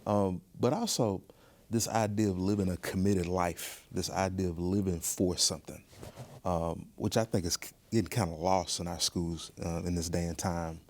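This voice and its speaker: A man speaking as an interview guest in a thoughtful, earnest way, pausing to choose his words. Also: low-pitched, steady tone, darkish tone. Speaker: museum professional, podcast guest.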